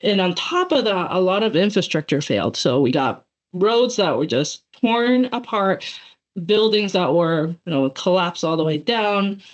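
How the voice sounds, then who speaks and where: A woman in her forties from Japan gives a webinar lecture, her pitch rising and falling with a lot of life. She talks in a chatty, loose way, full of fillers and restarts. The audio is dull and lo-fi, coming through a computer mic on a call. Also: measured pace. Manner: animated, chatty, frequent fillers and self-corrections. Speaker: guest speaker, associate professor. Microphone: computer mic on video call.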